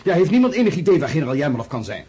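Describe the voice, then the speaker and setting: Flustered officer's aide, radio drama, very husky, rapid, clipped, insistent. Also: male voice, a little high, sing-song, mellow. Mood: urgent, indignant. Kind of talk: radio play acting.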